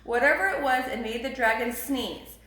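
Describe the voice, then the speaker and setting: A woman reading a picture book aloud with expressive, emphatic storybook narration. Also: fairly high pitch, moderate pace, echoey room.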